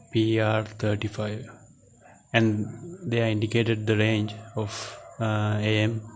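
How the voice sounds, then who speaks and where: A man giving an unscripted product demonstration in halting, hesitant short phrases, as the presenter of a gadget teardown video. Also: on the low side. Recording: clear steady background noise.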